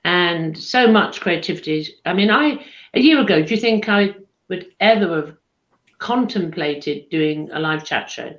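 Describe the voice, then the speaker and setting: A woman's voice: on the low side, animated, a little slow. A woman with a posh southern English accent, chatting casually as co-host of a live chat show, calling people darling. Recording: echoey room, dull lo-fi sound.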